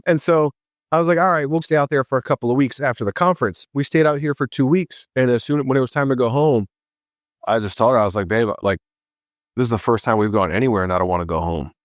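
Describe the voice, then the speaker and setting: A man from Hawaii, a podcast guest, telling his story in a chatty, casual way and voicing himself with lively quotes. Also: mid-range voice, fast talker, no room sound, clean studio sound. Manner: chatty, animated voice when quoting himself.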